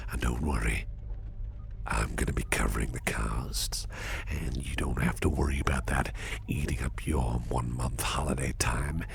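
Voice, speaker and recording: A man playing a suave, protective, slyly teasing businessman boss in an ASMR roleplay, speaking in a gravelly, breathy half-whisper with slow, deliberate phrasing. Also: extremely low pitch, exaggerated voice. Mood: proud, reassuring. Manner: slow, deliberate, softly spoken with repeated phrases. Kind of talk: ASMR roleplay, personal attention.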